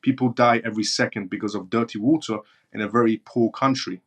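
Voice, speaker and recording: A man's voice: fairly low pitch, steady tone, brisk, a bit bright. A man from northern Iran with as his hosts a live stream, answering viewer chat bluntly and repeating his points for emphasis. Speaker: live-stream show host.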